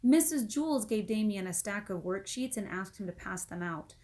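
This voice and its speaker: A woman's voice: mid-range voice, expressive, normal speed, dark tone. Woman reading a children's book. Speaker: storybook reader. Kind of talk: children's book read-aloud, expressive narration.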